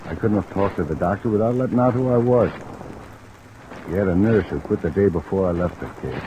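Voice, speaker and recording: A man's voice: low-pitched, fairly quick, close to an everyday voice. An American man playing a jaded, defensive private detective in an old-time radio drama, blunt and offhand. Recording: muffled, noisy vintage radio recording. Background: steady rain.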